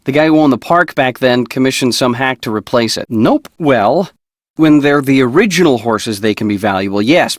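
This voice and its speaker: An actor playing a sarcastic, busy artist in a video game, with a wry, teasing way of talking. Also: male voice, mid-range voice, no echo, studio quality, natural voice. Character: sarcastic, busy male park artist, a procrastinating joker. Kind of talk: video game character dialogue.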